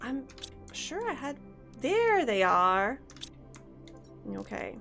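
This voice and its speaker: Slow-talking woman streamer, slightly sore throat. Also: high voice, big pitch swings, vocal fry, sharp tone. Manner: rambling, thinks aloud, chats with viewers. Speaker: game streamer. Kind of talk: casual live-stream chat while playing. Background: faint game music.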